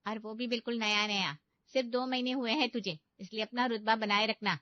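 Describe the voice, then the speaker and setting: Casting: woman as bossy, sarcastic young big sister for cartoon comedy, higher-pitched, very dry sound. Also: fairly even intonation, fairly quick, dry recording, natural voice. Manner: scolding, sarcastic. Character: bossy, teasing elder sister of the groom. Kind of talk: animated Hindi comedy story dialogue. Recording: dull, lo-fi studio voice-over.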